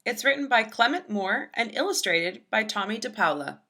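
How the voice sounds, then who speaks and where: A woman reading a children's storybook aloud as a storyteller, with expressive, dramatic phrasing. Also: on the high side, moderate pace.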